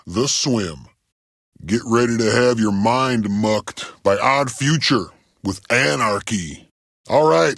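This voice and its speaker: A man playing a goofy, self-important rock radio DJ in a video game, rambling in a deadpan way with ums and trailing-off thoughts. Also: mid-range voice, no echo, clean studio sound, full character voice. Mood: upbeat announcing. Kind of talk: comedic radio DJ patter.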